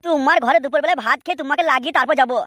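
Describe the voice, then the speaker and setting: A woman's voice: high voice, rapid-fire, fairly bright tone, dry recording, clean studio sound. A woman voicing a brazen, sly village man carrying on a secret affair in a comic cartoon, talking in a cheeky, colloquial way. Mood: sly, eager.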